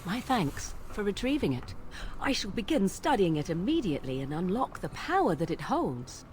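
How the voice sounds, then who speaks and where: Casting: woman as power-hungry young witch, fantasy game. Haughty, formal, archaic, with expressive pitch. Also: normal speed, a little hiss or hum, close to an everyday voice. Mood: cool, satisfied. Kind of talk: fantasy video game character acting.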